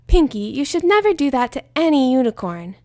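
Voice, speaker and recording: A woman's voice: sits high, sing-song, a little fast, darkish tone, no echo, clean studio sound. Brief: studious female unicorn, fed up and scolding, voiced by a woman reader. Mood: exasperated. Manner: exasperated and scolding.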